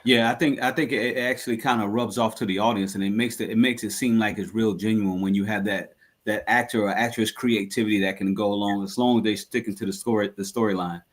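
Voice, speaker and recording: A man interviewing on a radio show in a conversational way, haltingly restarting his questions. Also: on the low side, fairly even intonation.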